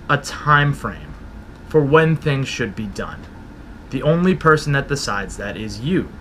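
Slow, bright-voiced man podcasting from home. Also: mid-range voice, a little hiss or hum. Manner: slow, reflective and encouraging. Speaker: podcast host. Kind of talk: motivational solo talk. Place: small home room, indoors. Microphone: studio mic with pop filter, camera at desk.

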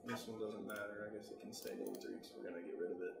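An American man giving a casual software tutorial, talking informally and thinking aloud as he works. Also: fairly low pitch, sing-song, fast, creaky, reverberant, very noisy. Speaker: tutorial presenter.

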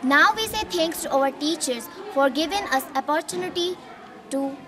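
A woman's voice: high-pitched, animated, normal speed. A teenage girl with a Pakistani accent reciting a formal student thank-you speech. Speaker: student presenter. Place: open school courtyard outdoors. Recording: noisy, distant camera microphone outdoors.

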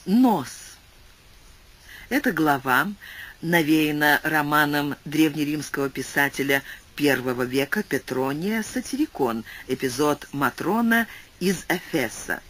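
A man reading a classic philosophical tale aloud as an audiobook narrator, with expressive, swooping delivery and shifting pace. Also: on the high side, unhurried. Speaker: audiobook reader.

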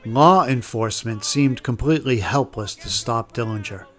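A man's voice: mid-range voice, normal speed. A man reading scripted documentary narration as the narrator. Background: music.

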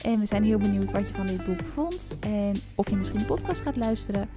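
A woman's voice: medium pitch, lively intonation, a little fast, music in the background. A woman co-hosting a podcast in a casual book chat. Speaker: podcast co-host.

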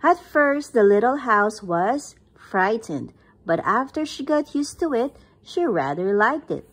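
A woman's voice: a little high, sing-song, a little slow, husky. A woman reading a picture book aloud at home, recorded on a phone camera above the book. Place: indoors, at home.

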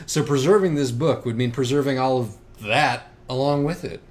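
A man's voice: mid-range voice, normal speed, close to an everyday voice. A man playing a cerebral, self-critical young writer with a wry, hesitant manner in audiobook dialogue. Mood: troubled, self-critical.

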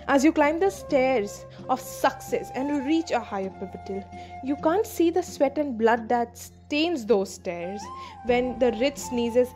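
Woman, solemn spoken-word recitation, high-ish voice, music under her. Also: a little mellow. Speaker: poetry reciter. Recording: music bed behind voice-over.

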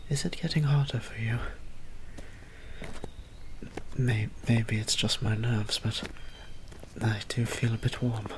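A man playing a gentle, courtly young vampire priest in an ASMR roleplay, soft and breathy, with hesitant, formal, tender phrasing. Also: mid-range voice, fairly even intonation, moderate pace, background noise, natural voice. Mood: nervous, shy. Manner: hesitant, tender, formal old-fashioned wording. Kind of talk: ASMR roleplay audio drama.

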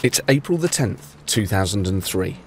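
A man's voice: on the low side, sing-song, moderate pace, slight background noise. A man narrating a true-crime story as podcast host, with dry, wry asides. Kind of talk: scripted storytelling narration with banter.

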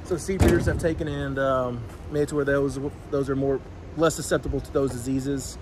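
A man's voice: medium pitch, fairly even intonation, fairly quick. A man, casually explaining his work as a farmer while giving a tour. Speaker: farmer showing the farm. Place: outdoors and in greenhouses on a farm. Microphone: phone camera a step away. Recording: outdoor phone recording with background noise.

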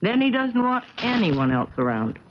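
An actress playing a chatty, breezy wife in a 1970s radio drama, with a teasing, forthright way of talking. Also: female voice, fairly high pitch, sing-song, moderate pace. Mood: gossipy. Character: chatty, breezy wife from the neighboring summer cabin. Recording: crackly, muffled 1970s radio recording.

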